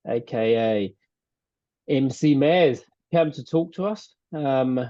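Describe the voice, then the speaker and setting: A man's voice: medium pitch, takes it slowly, dark tone, dry recording. A man with a New Zealand accent hosting a podcast, chatty and laughing easily as he talks. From rural New Zealand. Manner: chatty, laughs often, trails off. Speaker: podcast host. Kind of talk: casual podcast chat. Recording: dull dry lo-fi sound.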